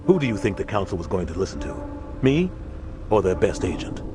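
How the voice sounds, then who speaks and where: An actor playing a seasoned older male navy captain in a video game, bitter but composed, with a measured, weighty delivery. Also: sits low, sing-song, music in the background. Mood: bitter, grave.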